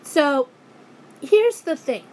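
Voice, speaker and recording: A woman ranting angrily in a vlog, hoarse and losing her voice, often breaking off and restarting her sentences. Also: sits high, animated, extremely slow, a little mellow. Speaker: YouTuber.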